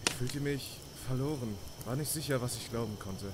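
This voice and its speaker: A man's voice: mid-range voice, moderate pace, noisy background, natural voice. A man voicing a troubled, devout young man in a video game fan dub, hesitant and reflective. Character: troubled, searching devout young man, soft-hearted and doubting. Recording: night crickets and outdoor ambience behind.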